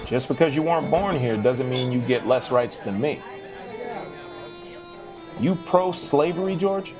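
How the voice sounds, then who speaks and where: A man's voice: mid-range voice, lively intonation, measured pace, close to an everyday voice. A man acting a friendly, courteous Civil War era bartender in a period drama, polite and inquisitive, with music underneath. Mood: earnest.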